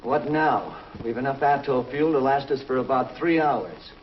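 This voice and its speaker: An actor playing a calm, measured young male hero pilot in a 1950s TV drama, on a muffled old soundtrack. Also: medium pitch, a little hiss or hum, close to an everyday voice. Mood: steady.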